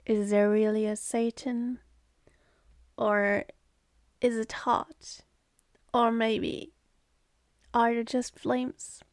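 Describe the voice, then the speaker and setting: Casting: woman playing a jaded demoness for ASMR roleplay, very slow and sardonic, creaky voice slipping into whispers. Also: a little high, flat delivery, no room sound, studio quality. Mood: guarded, matter-of-fact. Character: jaded, seductive, scornful female demon born in hell.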